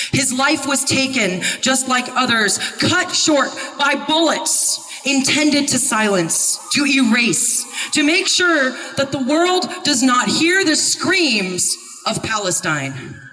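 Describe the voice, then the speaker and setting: A woman's voice: a little high, fairly bright tone. A woman activist delivering a prepared protest speech, solemn and impassioned, with emphatic, declamatory phrasing. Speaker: activist speaker at a protest encampment. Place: public protest outside media offices. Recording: reverberant, amplified voice from far off.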